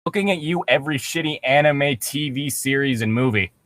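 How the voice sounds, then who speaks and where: A man's voice: medium pitch, fairly quick, fairly bright tone, no echo. A man giving casual, sarcastic, mocking commentary among friends. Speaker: co-host commenting on a story reading.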